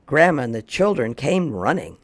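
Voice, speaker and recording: A storyteller reading a children's picture book aloud in an expressive way, drawing out words for emphasis. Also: mid-range voice. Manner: expressive, slow, drawn-out emphasis. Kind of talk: animated children's read-aloud.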